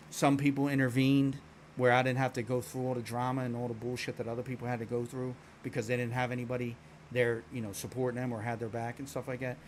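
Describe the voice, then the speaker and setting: A man's voice: medium pitch, slight background noise. A man, a live streamer telling stories to his viewers in a blunt, profane, rambling way with lots of restarts. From Philadelphia. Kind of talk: casual storytelling chat to stream viewers.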